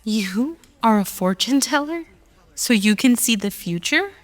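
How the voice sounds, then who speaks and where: An actress plays a fierce, guarded fighter of a woman in a radio drama. She talks very slowly and bluntly, with a long reverb trailing her words. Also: fairly high pitch, lively intonation. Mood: skeptical. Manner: blunt, menacing, deliberate.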